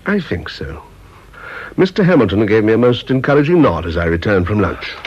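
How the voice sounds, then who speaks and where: Formal, ambitious British official. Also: male voice, on the low side, big pitch swings, a little fast, a little mellow, breathy edge. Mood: awkward. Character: ambitious, secretive government official, husband and father. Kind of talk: radio drama acting. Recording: dull radio play recording, noisy.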